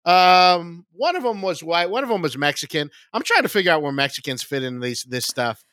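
A man's voice: a little high, expressive, a little fast, pushed and bright, no room sound. A man, a comedian guesting on a podcast, chatting casually about politics and repeating words as he searches for the next thought.

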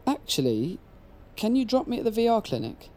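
An actor playing an apologetic, joking, protective male friend in a naturalistic audio drama, speaking in a halting, conversational way. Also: sits high, very animated, mellow. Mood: wry, joking.

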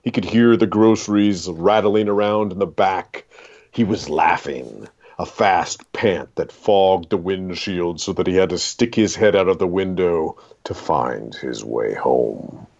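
Very deep, gravelly man reading a story. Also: unhurried, darkish tone, muffled recording. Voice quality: gravelly, husky. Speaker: writer reading own short story. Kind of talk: dramatic literary story reading.

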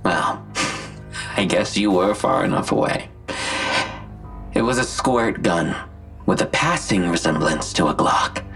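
A man playing a smug, gloating imprisoned villain in an audio drama, slow and mocking, with a gravelly, husky voice. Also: low-pitched, animated, a bit bright, faint music behind, exaggerated voice.

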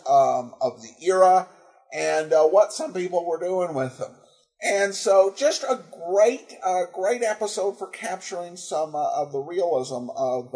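A man with a high voice hosts a podcast. He gives informal commentary in a halting way, often searching for his words. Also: a little mellow, low-quality recording. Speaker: podcast host.

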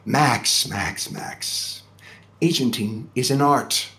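An actor playing a suave, indignant senior talent agent with a theatrical, scolding, emphatic manner. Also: male voice, mid-range voice, slow, sharp tone. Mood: lofty scolding. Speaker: actor in a scripted audio comedy drama.

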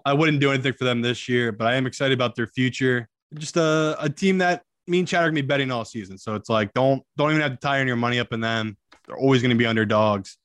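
A man's voice: medium pitch, a bit bright, no room sound. Casual male betting analyst, dry sound. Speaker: sports betting analyst and co-host. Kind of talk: casual opinionated betting commentary.

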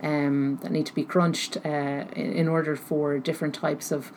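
Woman, low pitch, interview guest, thoughtful, hesitant phrasing, faint noise behind. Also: little pitch movement, normal speed, darkish tone. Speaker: interview guest, technology researcher. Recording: noticeable background noise.

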